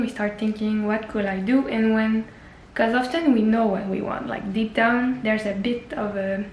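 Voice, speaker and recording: A woman's voice: a little high, a little mellow, reverberant, slight background noise, low-quality recording. A young woman whose first language is French, chatting earnestly in a personal travel vlog, often restarting her sentences. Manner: earnest, many restarts and fillers. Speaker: travel vlogger. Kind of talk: casual personal vlog talk.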